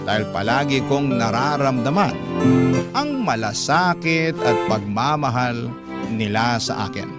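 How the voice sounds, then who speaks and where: A man with a Filipino accent, reading a listener's letter dramatically as a radio narrator. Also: medium pitch. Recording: loud guitar music bed behind.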